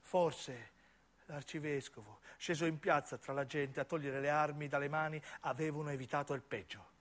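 A man's voice: fairly high pitch, steady tone, moderate pace, fairly bright tone. A male stage actor tells a story. His delivery is intense and solemn, built from short, broken phrases as in a theatrical monologue.

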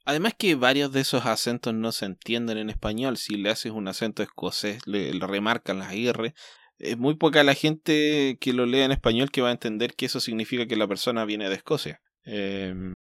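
A man's voice: mid-range voice, steady tone, moderate pace, fairly bright tone, no room sound, clean studio sound. A man co-hosting a casual podcast, thinking aloud in a hesitant way with many fillers. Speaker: podcast co-host.

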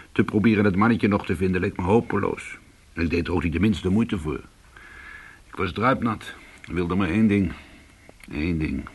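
An actor playing a weary, hard-boiled former cat burglar, telling his story in an intimate, brooding and deliberate way in a radio play. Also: on the low side, breathy edge. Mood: weary, reflective.

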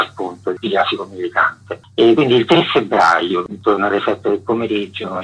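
Middle-aged man, low pitch, forceful raspy edge, rambling casual interview answers. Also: low-quality recording. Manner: discursive, frequent filler tags. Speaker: interview guest, graphic artist. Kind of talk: casual radio interview, recounting memories.

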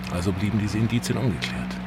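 An actor playing an inquiring traveller in radio drama dialogue. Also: male voice, on the low side, quick pace, over loud music, lightly acted. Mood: questioning, alert. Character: male traveller questioning his river guide.

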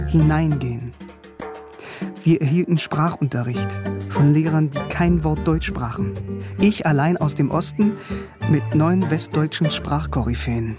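An actor playing a wry, reflective East German philosopher and former soldier, narrating and talking in a radio drama. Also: male voice, fairly high pitch, close to an everyday voice. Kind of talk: radio drama first-person narration and dialogue.

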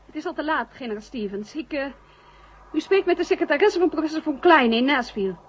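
An actress playing a distraught, bitter secretary in an old radio drama. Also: female voice, high voice, lively intonation, fast talker. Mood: upset, resigned. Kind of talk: 1970 radio drama acting. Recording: dull old radio recording.

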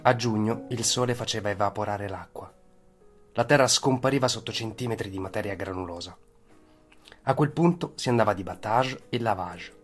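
A man tells a historical tale as a scripted dramatic narration. His voice sits low, with faint music running behind it. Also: moderate pace. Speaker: storyteller.

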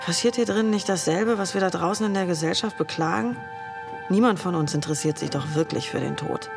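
An actress playing an earnest, disillusioned idealist in a reflective radio play monologue. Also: female voice, fairly low pitch, brisk, creaky, over music.

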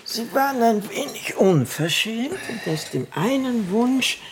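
A woman's voice: fairly high pitch, animated, measured pace, slight background noise. A woman playing a haughty elderly madame who rambles theatrically about her past in a radio play. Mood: grand storytelling. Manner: rambling, theatrical, breaking off into gasps and giggles.

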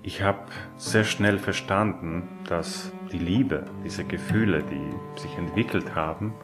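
Deep-voiced, halting man reflecting. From Poland. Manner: halting, emphatic, searching for words. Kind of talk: reflective personal testimony. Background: music.

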